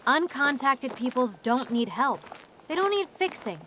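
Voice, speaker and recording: A woman's voice: high voice, very animated, a little slow, a bit bright, slight background noise, full character voice. A woman playing a cautious, principled academic in an audio drama, blunt and admonishing. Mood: confrontational.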